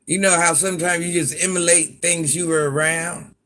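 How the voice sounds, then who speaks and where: A grandmother with a deep voice, chatting on a livestream and talking back to commenters with sass. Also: steady tone, moderate pace, a bit bright. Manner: sassy, talks back to commenters by name. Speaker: livestream host. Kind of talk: casual livestream chat.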